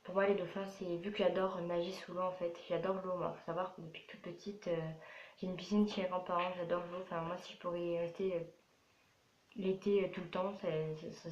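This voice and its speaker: A twelve-year-old girl from France chatting casually in a rambling, repetitive way as she answers questions for a vlog. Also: on the low side. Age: 12 years old. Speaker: young vlogger. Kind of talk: casual FAQ vlog to camera. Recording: roomy echo, dull sound, faint noise.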